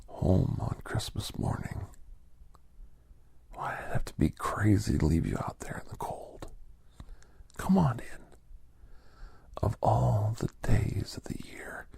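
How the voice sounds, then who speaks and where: A man playing a genial older doctor with a secret past, telling folksy ASMR stories in an unhurried, breathy, gravelly half-whisper. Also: medium pitch, warm tone, no room sound, heavily acted. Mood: welcoming, hushed. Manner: unhurried, folksy, halting, conversational. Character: genial old doctor, a retired secret government scientist, reminiscing. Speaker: storytelling podcast host in character. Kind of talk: ASMR storytelling, soft-spoken roleplay.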